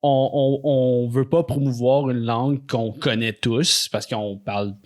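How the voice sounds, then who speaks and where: A man's voice: medium pitch, steady tone, a little slow, darkish tone. A man with a Quebec French accent co-hosting a podcast, talking casually, repeating words and losing his thread. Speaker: podcast co-host.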